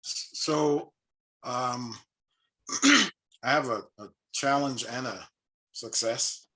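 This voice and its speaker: A man who works as a tax planning advisor, sharing an update on a casual online networking call in a measured, slightly hesitant way. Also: mid-range voice, sharp tone, poor audio. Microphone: video call.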